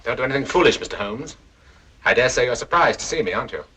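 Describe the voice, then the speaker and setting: Smug old movie bad guy, fast sharp raspy talk, taunting, menacing, 1940s style. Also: mid-range voice, lively intonation, poor audio. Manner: taunting, self-satisfied. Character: smug, menacing male villain who mimics voices. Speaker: actor playing a film villain. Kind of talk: 1940s film acting.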